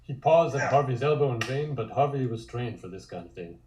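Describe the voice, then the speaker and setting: A man narrating a scripted comic table read in measured, descriptive storytelling, with echoey room sound. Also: medium pitch, animated, a little mellow, a little hiss or hum.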